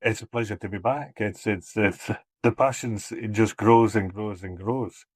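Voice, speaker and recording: A man's voice: on the low side, steady tone, normal speed, breathy edge, dry recording, studio quality. A middle-aged Scottish man, guest on a travel podcast, talking about his work, often repeating words as he restarts. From Scotland. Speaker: cableway marketing head. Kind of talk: casual interview talk.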